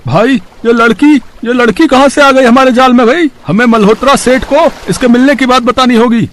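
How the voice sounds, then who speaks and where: A man's voice: very high voice, moderate pace, a bit bright. A man playing an earnest villager in a storybook tale. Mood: surprised. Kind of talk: storybook dialogue.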